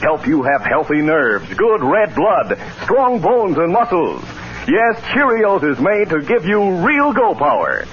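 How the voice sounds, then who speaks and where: A theatrical male announcer narrates in classic radio style, swinging through a wide pitch range. The recording is dull and vintage, with prominent music behind his declamatory delivery. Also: wildly swooping pitch, unhurried. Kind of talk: dramatic 1940s-50s radio announcing and scripted narration.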